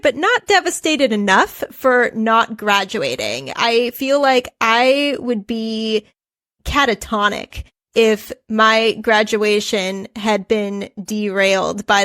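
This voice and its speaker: Lively, higher-voiced woman author guest. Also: dry recording. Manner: animated, laughs often, wide pitch swings. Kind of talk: casual podcast chat.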